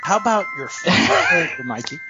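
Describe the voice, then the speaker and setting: A man's voice: a little high, lively intonation, fairly quick, muffled recording, music in the background. A man as game master, casually questioning a player and explaining rules in an agreeable, tentative way. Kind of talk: casual interview-like chat about tabletop gaming.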